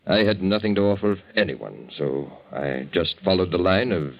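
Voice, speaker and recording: A man's voice: low-pitched, lively intonation. An actor playing a weary, weak-willed married man in debt, speaking hesitantly in a 1940s radio drama. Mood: earnest, tender. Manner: hesitant, halting. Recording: dull, lo-fi 1940s radio recording.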